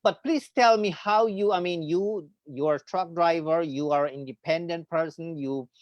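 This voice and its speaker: A man's voice: on the high side, normal speed, no room sound, muffled recording. A middle-aged man hosting an interview show over a video call from home, friendly and rambling with many fillers. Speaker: radio show host. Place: home room with trophies and bookshelf. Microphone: video call webcam.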